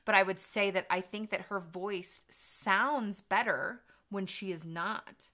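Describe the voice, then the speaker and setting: A woman's voice: mid-range voice, takes it slowly, sharp tone. A young woman, a voice teacher and co-host, thoughtfully explaining vocal technique in casual chat on a couch. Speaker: voice teacher and reaction video co-host. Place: living room studio, seated on a couch.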